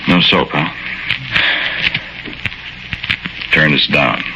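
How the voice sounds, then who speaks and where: A man's voice: very deep voice, normal speed, gravelly, noisy background. An actor playing a weary, wry condemned man on death row, narrating in 1940s radio style with dry resignation. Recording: muffled 1940s radio recording.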